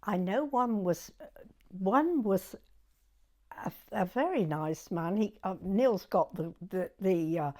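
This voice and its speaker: A woman in her nineties from Dorset with a southern English accent, reminiscing in an interview in a hesitant, halting way and repeating words. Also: mid-range voice, darkish tone. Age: 90s. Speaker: oral history interviewee.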